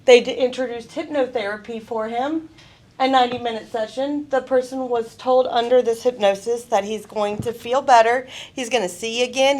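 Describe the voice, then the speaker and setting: A woman giving a college presentation, telling a story in a lively, chatty way. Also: a little high. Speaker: student presenter. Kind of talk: class presentation. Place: college event room.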